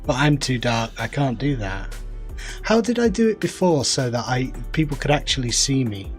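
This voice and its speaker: A man with a mild northern English accent, softened by time in the south, talks in an easy mid-range voice while he plays. He muses aloud and answers his viewers in a relaxed, chatty way. Light music plays quietly behind him. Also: medium pitch, normal speed. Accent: mild Northern English, softened by years in the south. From northern England. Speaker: gaming streamer. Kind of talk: casual live-stream commentary while playing.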